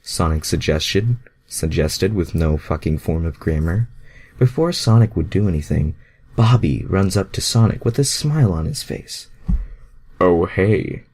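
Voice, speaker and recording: A man's voice: sits low, lively intonation, moderate pace, a little mellow, slightly rough. A man reading fan fiction aloud in an amateur, mocking way, crude and self-deprecating, breaking off to comment.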